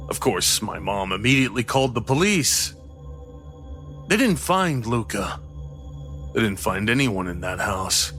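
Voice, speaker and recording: A man narrating a first-person horror story in a dramatic storytelling style. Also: mid-range voice. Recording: music behind the voice. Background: prominent background music.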